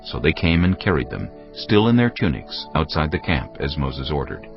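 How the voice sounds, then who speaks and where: A man narrating scripture dramatically, with solemn, deliberate and emphatic delivery. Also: extremely low pitch, very animated, gravelly, dull audio. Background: prominent background music.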